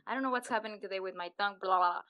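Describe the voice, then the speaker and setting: A woman's voice: medium pitch, racing, no echo, lo-fi sound. A woman co-hosting a casual podcast in a playful manner, tossing in little vocal interjections. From US-Mexico border region. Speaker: podcast co-host.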